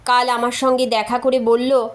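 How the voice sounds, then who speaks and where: A woman's voice: fairly high pitch, flat delivery, normal speed, natural voice. A woman narrator voicing a frank, playful young woman reading out her chatty letters in a storybook. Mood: candid, persuasive.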